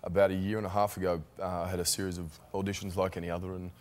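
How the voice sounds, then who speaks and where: A young Australian man speaking low and easy as a talk show guest. He rambles through stories and laughs readily. The studio sound stays close and clean. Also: rapid-fire. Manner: relaxed, laughing, rambling anecdotes. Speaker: actor guest. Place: television studio. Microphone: guest desk microphone.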